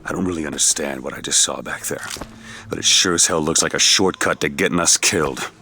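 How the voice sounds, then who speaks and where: A man playing a gruff, world-weary, protective hired gun in a video game, with an American accent and a terse, wry manner. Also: very deep voice, brisk, background noise. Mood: guarded. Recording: loud music behind the voice. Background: loud music.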